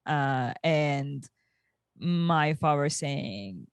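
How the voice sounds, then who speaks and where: A woman from France, co-hosting a podcast in a measured, reflective, casual way with pauses. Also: sits low, lively intonation, smooth, dry recording. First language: French. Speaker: podcast co-host.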